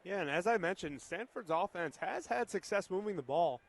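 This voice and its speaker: A man's voice: high voice, animated, normal speed, creaky. An American man giving color commentary and analysis on a live football broadcast.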